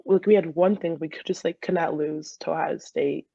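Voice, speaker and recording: A woman's voice: low-pitched, moderate pace, gravelly, husky, no room sound, highs cut off. A woman in her twenties with an American accent, chatting informally as an interview guest and retelling things in a giggly, casual way. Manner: giggly, informal, animated retelling with quoted asides. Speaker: interview guest, former college soccer player.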